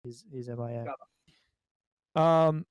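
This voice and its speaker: A man's voice: mid-range voice, takes it slowly, clear, resonant tone, dry recording, lo-fi sound. A young man with an Australian accent hosting a casual group podcast chat. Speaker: podcast host.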